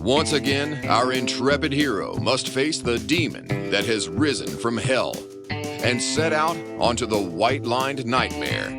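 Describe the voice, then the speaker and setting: An actor playing a grandiose serial announcer, narrating melodramatically with theatrical pauses. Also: male voice, lowish voice. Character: bombastic male serial adventure announcer. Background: music.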